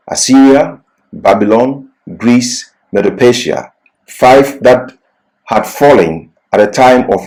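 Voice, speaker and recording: A man's voice: on the low side, darkish tone, poor audio. A man giving an expository Bible lecture as a teacher, didactic and leaning on key words.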